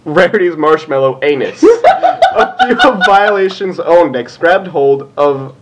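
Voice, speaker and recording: A man's voice: fairly high pitch, wildly swooping pitch, measured pace, noisy background. A man reading fan fiction aloud for comedy, casual and giggly, with amused asides.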